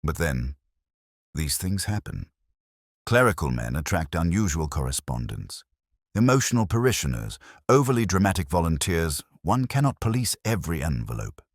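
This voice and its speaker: Man playing a prim guarded clerk, soft-spoken, clipped, deep voice, dry sound. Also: big pitch swings, moderate pace, no room sound, exaggerated voice. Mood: guarded polite.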